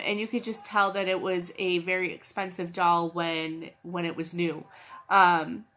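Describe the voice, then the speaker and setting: Woman vlogging with a cold, rambly and scatterbrained, bright sharp tone, faint hum behind. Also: mid-range voice. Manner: chatty, scattered, lots of ums and restarts. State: has a cold. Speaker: channel host, reseller. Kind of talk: casual vlog chat.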